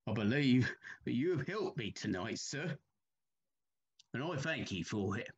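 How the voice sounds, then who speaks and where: A man's voice: mid-range voice, expressive, a little slow, breathy edge. A man playing a deferential, dutiful railway signalman in an audio play, humble and hesitant in manner, on a slightly muffled recording. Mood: grateful, resolute. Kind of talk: dramatic audio play acting.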